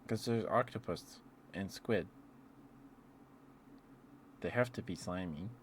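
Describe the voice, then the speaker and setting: A man's voice: deep voice, takes it slowly, slight background noise. A man streaming casual, rambling ASMR chat, musing aloud hesitantly and letting thoughts trail off.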